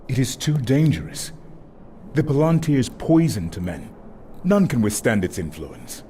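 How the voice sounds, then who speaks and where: A man's voice: medium pitch, sing-song, moderate pace, dark tone, slight background noise, lightly acted. A man playing a stern, dutiful army captain in a dramatic fantasy video game. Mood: stern, wary.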